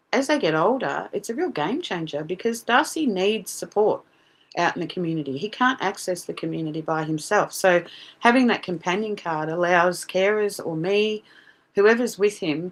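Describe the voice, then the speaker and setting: A middle-aged woman with an Australian accent, speaking as a podcast guest in an earnest, animated way with many restarts. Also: lowish voice. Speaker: guest, parent advocate. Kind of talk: casual podcast interview.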